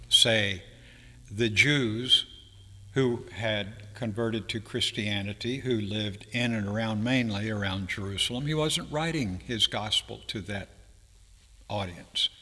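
An older man in his seventies delivers a teaching sermon at a slow, halting pace. His voice is bright and firm, carrying through a church with long reverb. He often pauses in mid-sentence as he explains. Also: mid-range voice. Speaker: preacher. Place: church sanctuary, speaking from a pulpit. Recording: reverberant hall, long echo.

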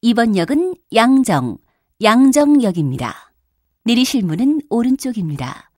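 A woman's voice: a little high, big pitch swings, a little slow, mellow, slightly rough, dry recording, studio quality. A woman reading scripted subway station announcements in a formal, carefully enunciated manner. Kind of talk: scripted public transit announcement.

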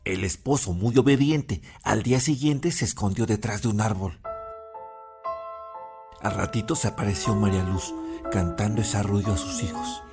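A man acts as an old grandfather telling a spooky legend in a radio play. His voice is low and full of suspense, dramatically narrating over music. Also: moderate pace, creaky. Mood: suspenseful.

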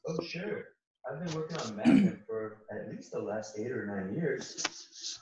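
A man's voice: mid-range voice, animated, unhurried, natural voice. A man playing a vain, dim-witted male model, earnest and self-satisfied, in an amateur comedy read. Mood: earnest vanity. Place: home, on a group video call. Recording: echoey, muffled, noisy video call.

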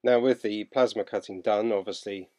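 A man in his thirties from the UK, casually narrating his boat-building work in a relaxed, practical way with frequent ums. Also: on the low side, moderate pace.

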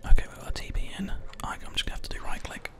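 Breathy whisper, ASMR game commentary, close binaural mic, keyboard and mouse clicks. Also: rapid-fire. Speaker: gaming video host. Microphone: close binaural microphone.

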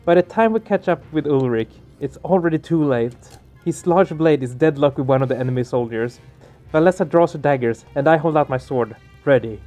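A man's voice: a little high, a little fast, a little mellow. A man hosting a let's play, reading game narration aloud and adding casual commentary. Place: small home room, indoors. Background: game music.

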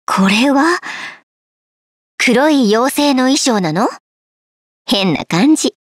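An actress playing a soft, dreamy dragon woman in a video game, with a tender, sleepy, wistful delivery. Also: sits high, expressive, a little slow, no room sound, clean studio sound. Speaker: voice actor. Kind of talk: scripted Japanese game character voice clips.

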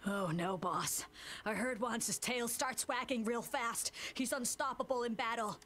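High-pitched nervous bandit sidekick. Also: female voice, a little slow, a bit bright. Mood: nervous, panicky. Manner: anxious, fawning. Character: cautious, nervous female bandit underling. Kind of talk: video game voice acting.

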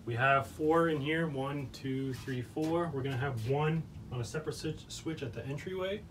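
A man's voice: mid-range voice, moderate pace, a bit bright, slight background noise. A young man co-hosting a van build vlog, explaining his work in a plain, practical way. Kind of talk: casual explaining and chat. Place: bus interior under construction.

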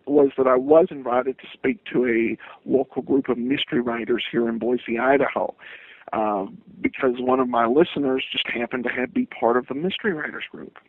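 Halting American man on a phone-like line. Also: medium pitch, no room sound. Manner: halting, hesitant. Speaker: interview guest, podcaster and writer. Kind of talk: casual interview answers.

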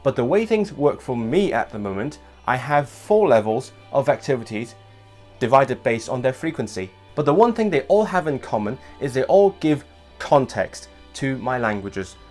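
Young male vlog host, non-native English, lively and gesturing, home desk setup, faint music underneath. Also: medium pitch, normal speed. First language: not English. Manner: animated, gestures with hands while talking. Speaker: language vlogger host. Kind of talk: casual scripted vlog talk to camera. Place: small home room with desk.

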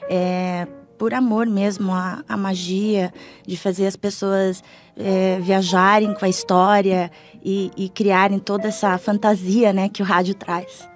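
A woman's voice: mid-range voice, flat delivery, a little slow. A middle-aged woman with a Brazilian accent chatting informally as a radio interview guest, often adding tag questions. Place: small foam-padded radio studio. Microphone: studio microphone. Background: faint music.

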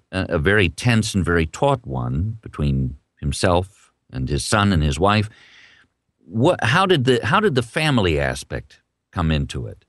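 A man's voice: low voice, no echo, clean studio sound. A man who narrates audiobooks, hosting an author interview as the interviewer.

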